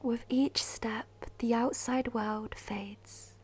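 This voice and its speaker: A woman guiding a meditation in a soft, breathy, half-whispered voice, soothing and measured, with long pauses. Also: a little high, steady tone. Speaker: meditation guide. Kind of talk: guided meditation, scripted narration. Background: music.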